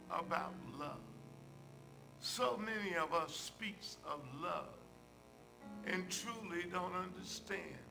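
Slow, gravelly older man praying in church. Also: a little high, poor audio. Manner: slow, measured phrasing with emphatic repetition. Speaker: church minister leading prayer. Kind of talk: public church prayer. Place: church sanctuary, at a pulpit. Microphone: pulpit microphone.

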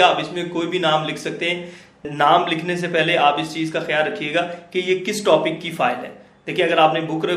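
A man walks viewers through a software tutorial, step by step. His voice sits a touch higher than usual for a man, and he keeps checking that his audience is following. The recording has a noticeable echo from the room around the desktop mic. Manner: steady explaining, often checks in with listeners. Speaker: computer tutor. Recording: echoey room.

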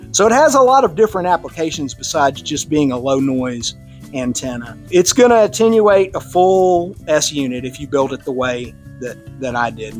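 A man with a Texan accent giving a casual, conversational technical talk to a radio club, with easy fillers and restarts. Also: mid-range voice. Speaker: club presenter on radio antennas. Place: club meeting room.

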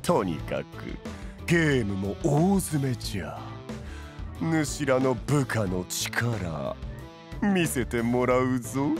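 A man's voice: medium pitch, wildly swooping pitch, very slow, long pauses, music in the background, full character voice. An actor playing a proud, flamboyant tea-master lord in a voice drama, boasting theatrically in archaic old-man phrasing. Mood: assured. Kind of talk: Japanese voice drama acting.

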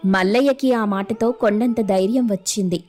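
A woman narrating a scripted animated moral story as the storyteller. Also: mid-range voice, moderate pace. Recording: music under the voice.

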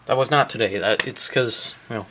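Man, casual vlog commentary, halting and puzzled, handheld camera mic, heavy noise, indoors. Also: mid-range voice. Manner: puzzled, halting, thinking aloud. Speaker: vlogger, weather radio hobbyist. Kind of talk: casual off-the-cuff commentary while demonstrating gadgets. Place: small room indoors. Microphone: handheld camera microphone. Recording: very noisy camera audio, radio static.

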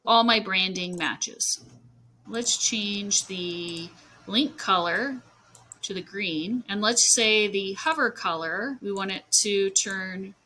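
A woman narrating a screen-share software tutorial, explaining things step by step. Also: medium pitch, slow, slight background noise.

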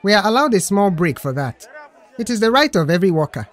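A man puts on a brash male slacker in an audio story. His high, animated voice protests glibly, always ready with an excuse. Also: fast, a faint crowd. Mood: protesting, glib. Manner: protesting, glib.

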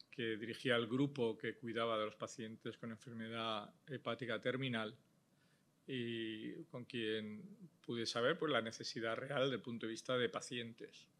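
A middle-aged man tells his story at an indoor panel talk. His voice is bright and forward, and he speaks into a table microphone. He talks in a measured, reflective way and fills his pauses with a soft "eh". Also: medium pitch. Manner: measured, thoughtful, with frequent "eh" pauses. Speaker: transplant surgeon on a panel. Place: indoor panel stage before a logo backdrop. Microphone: table microphone on a stand.

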